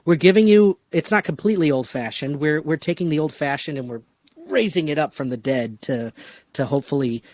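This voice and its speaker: Higher-voiced man hosting a casual discussion. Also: brisk, a little mellow, no room sound. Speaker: podcast host and producer.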